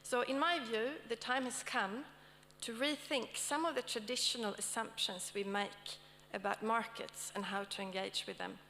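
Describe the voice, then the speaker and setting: Swedish woman moderating a symposium panel, fairly high voice, hall echo with faint hum. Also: steady tone, moderate pace, hardly any pauses, pushed and bright. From Sweden. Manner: checks in with the audience often, with many fillers. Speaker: session moderator and academic speaker. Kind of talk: conference session introduction. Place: conference hall.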